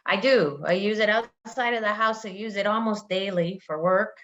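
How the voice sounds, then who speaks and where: A woman's voice: medium pitch, normal speed, fairly bright tone, highs cut off. A woman guest in a casual video chat, talking in a plain, matter-of-fact way.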